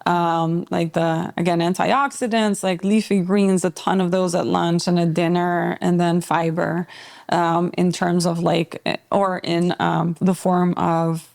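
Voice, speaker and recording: A woman from Albania, a podcast guest who talks about fitness in a relaxed, rambling way with frequent filler words. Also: lowish voice, measured pace, creaky. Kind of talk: casual conversational interview.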